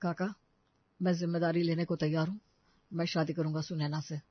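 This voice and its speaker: A woman's voice: sits low, flat delivery, brisk, dry recording, pitched below the performer's own voice. An earnest young man, voiced by a woman, argues with impassioned, righteous insistence in a family melodrama. The recording is dry and a little muffled. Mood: resolute. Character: earnest, indignant young man defending a wronged widow. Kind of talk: Hindi audio story melodrama.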